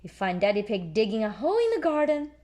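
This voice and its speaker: A woman narrating a children's storybook aloud as a storyteller, in an animated read-aloud style. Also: mid-range voice, quick pace.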